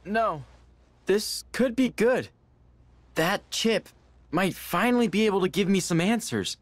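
A man's voice: sits high, expressive, a little slow, no room sound. Earnest, lightish-voiced teen boy. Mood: hopeful. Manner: earnest, emotional. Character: earnest, brainy teenage boy inventor hero. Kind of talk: video game voice acting.

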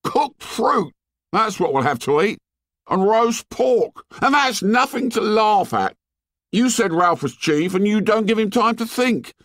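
Storybook schoolboy outsider: very high pitch, whining indignation, swooping delivery. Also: male voice, expressive, takes it slowly, vocal fry, a bit bright, no echo, clean studio sound, full character voice. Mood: indignant scolding. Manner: shrill scolding tirade in ungrammatical speech.

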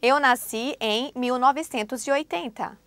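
A woman presenting a language course in a studio, reading the lesson script clearly and steadily. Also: high voice, fairly bright tone, no room sound. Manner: clear, measured prompting of phrases.

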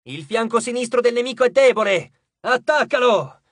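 A man voicing a young soldier in an audiobook, a decisive commander urgently shouting battle orders to his troops. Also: extremely high pitch, expressive, normal speed, no echo, studio quality, pitched above the performer's own voice, heavily acted.

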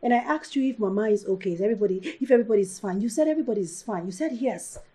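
An actress playing a caring, wounded, insistent wife in a film drama, with a Nigerian accent and a pleading, questioning manner. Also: female voice, mid-range voice, expressive, racing, mellow, husky. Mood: concerned. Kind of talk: naturalistic film drama acting.

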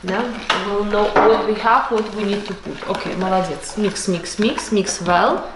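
A woman's voice: mid-range voice, steady tone, normal speed, very noisy. Woman teaching baking in an echoey kitchen. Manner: patient, instructive, non-native English with Russian words. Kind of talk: home cooking lesson with her child. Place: home kitchen table, indoors. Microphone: camera across the table. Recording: echoey kitchen with clattering dishes.

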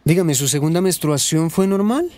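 Man acting calm, serious Colombian doctor. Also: fairly high pitch, natural voice. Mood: calm, professional. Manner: measured, explanatory. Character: calm, serious male gynaecologist. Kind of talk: radio soap opera acting.

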